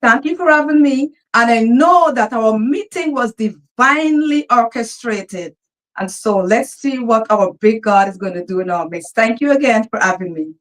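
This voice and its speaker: Guyanese woman minister, fervent, a bit high-pitched, guest on a talk show. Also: normal speed, poor audio. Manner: fervent, churchy phrasing.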